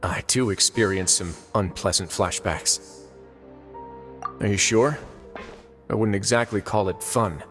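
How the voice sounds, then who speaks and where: A suave, low-voiced prison duke. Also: male voice, a bit bright. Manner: smooth, measured and courteous. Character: suave male prison duke, calm and wry. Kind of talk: video game voice acting. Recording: game audio with music behind.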